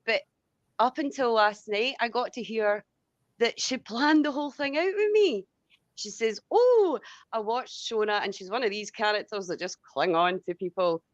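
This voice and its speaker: A woman's voice: on the high side, normal speed, dry recording, muffled recording. A Scottish woman venting in a casual, blunt, rambling way with plenty of swearing. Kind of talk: casual heated venting chat.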